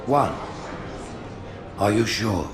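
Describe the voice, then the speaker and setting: Game villain: regal alien prophet, deep, stately, passing judgement over a loud score. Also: male voice, lively intonation, very slow, over music. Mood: probing, measured. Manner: stately, oratorical, stern. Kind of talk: cinematic game acting.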